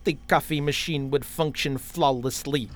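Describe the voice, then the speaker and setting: An actor playing a literal, self-important android officer with a formal, deadpan manner in a parody radio play. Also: medium pitch, moderate pace, slight background noise, close to an everyday voice.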